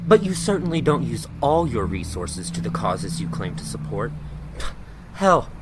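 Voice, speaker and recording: A man's voice: medium pitch, very animated, measured pace, background noise. A man playing a snide non-binary muckraking blogger in an audio drama, mocking and pointed, questioning like an interrogator. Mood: accusing.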